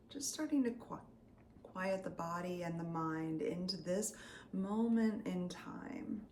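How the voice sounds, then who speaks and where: A woman leading a guided meditation as a Reiki healer, soothing and unscripted, often drifting into breathy near-whispers. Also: lowish voice, a little mellow. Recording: roomy echo, faint background noise.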